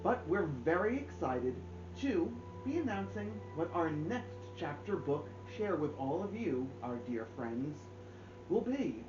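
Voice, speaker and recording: Animated storyteller man with very lively pitch. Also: muffled recording, over music. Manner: animated, theatrical delivery with deliberate pauses. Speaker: story time host and book reader. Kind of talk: expressive children's read-aloud storytelling. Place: indoors, small home room.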